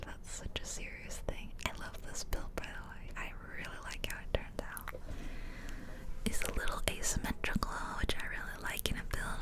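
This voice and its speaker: A woman whispering gently in a casual, rambling ASMR style, close to the microphone from ear to ear. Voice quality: soft unvoiced whisper. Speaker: ASMR video creator and gamer. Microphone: close stereo ear-to-ear microphone.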